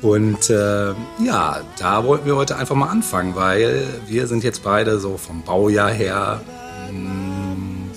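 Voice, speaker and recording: Male podcast host, 40s, lowish voice, halting delivery, faint music behind. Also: vocal fry, a bit bright. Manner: rambling, hesitant with many fillers. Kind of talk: casual podcast chat.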